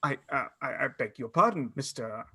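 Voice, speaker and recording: A man acting in a radio play as a bookish, excitable young student with a theatrical, formal delivery. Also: mid-range voice, moderate pace, husky, heavily acted. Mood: breezy, dismissive.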